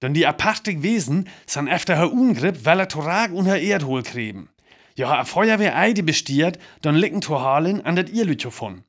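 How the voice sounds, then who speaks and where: A man acting a radio newsreader in a drama, announcing a grave disaster formally and urgently with a North Frisian accent. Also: fairly high pitch. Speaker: radio news announcer in a radio play. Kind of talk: scripted news bulletin in a radio play.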